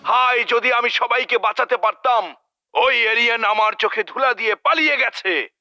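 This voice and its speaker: Boastful, piercingly high cartoon braggart. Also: male voice, moderate pace, gravelly, pushed and bright, lo-fi sound, faint music behind, heavily acted. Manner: bragging, melodramatic. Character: boastful cartoon man telling tall heroic tales. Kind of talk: animated cartoon dubbing.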